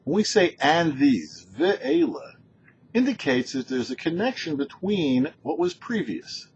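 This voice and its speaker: A man, a rabbi hosting a show, teaching scripture in a deliberate, emphatic way. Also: a little high, wildly swooping pitch. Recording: dull lo-fi sound with background noise.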